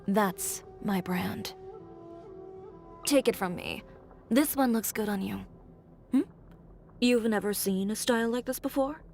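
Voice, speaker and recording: A woman voicing a blunt, haughty young fashion designer in a video game, speaking in a curt, dry and self-assured way. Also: medium pitch. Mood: reflective, resolute. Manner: curt, dry, matter-of-fact, coolly condescending. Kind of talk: scripted game character voice lines.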